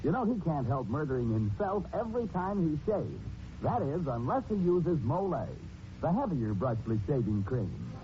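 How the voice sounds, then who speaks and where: A man's voice: a little high, big pitch swings, moderate pace, warm tone, dull audio, slight background noise. An American man delivering a 1940s radio commercial in jovial, sing-song salesman patter. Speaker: announcer reading sponsor ad. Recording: band-limited 1940s radio, music behind.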